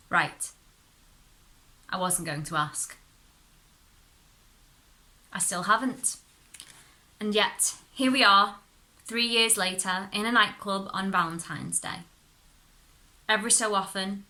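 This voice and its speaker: A woman reading a short story aloud as expressive narration, with dramatic, deliberate phrasing. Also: mid-range voice. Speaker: story reader.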